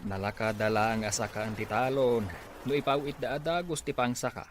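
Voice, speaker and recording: A man's voice: medium pitch, fairly even intonation, fairly quick, a little hiss or hum, natural voice. A man acting a practical village husband in an Ilocano drama, speaking with an Ilocano accent. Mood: resigned, practical. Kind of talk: amateur Ilocano radio-style drama acting.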